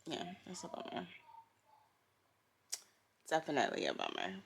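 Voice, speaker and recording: A woman speaks in a low, gravelly register with plenty of fry. She chats candidly and informally, as in a personal vlog at home, with faint noise in the background. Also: wildly swooping pitch, normal speed. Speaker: vlogger, costume designer. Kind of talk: casual personal vlog chat. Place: indoors at home.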